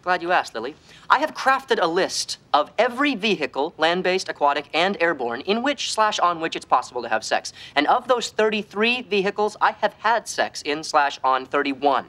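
A man's voice: sits high, very animated, moderate pace, bright, forceful tone, full character voice. An actor playing a brash, boastful young American womanizer, speaking in a cocky, emphatic sitcom style. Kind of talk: sitcom acting.

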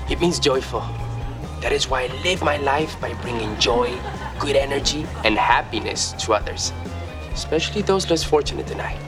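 An actor playing a carefree, joyful masseur with a Spanish-speaking accent, serene and earnest, in a sitcom. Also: male voice, mid-range voice, lively intonation, moderate pace, over music, close to an everyday voice. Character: carefree, joyful foreign masseur, a seductive drifter. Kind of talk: sitcom acting.

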